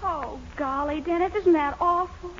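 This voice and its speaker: A woman's voice: very high-pitched, normal speed. An actress playing an eager, lovestruck, determined young sweetheart in a 1940s radio comedy, girlish and gushing. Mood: pleading. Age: young adult. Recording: dull, noisy old radio recording.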